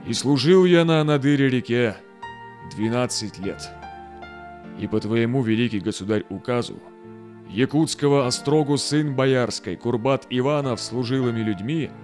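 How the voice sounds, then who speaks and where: A man's voice: medium pitch, moderate pace, a little mellow, faint music behind, lightly acted. An actor playing a weary Cossack explorer and father in an audio drama, speaking in a solemn, declamatory way. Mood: formal, proud. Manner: grave, declamatory. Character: weary, dutiful male Cossack explorer returned home, a father. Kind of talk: solemn audio drama acting in verse and old chronicle prose.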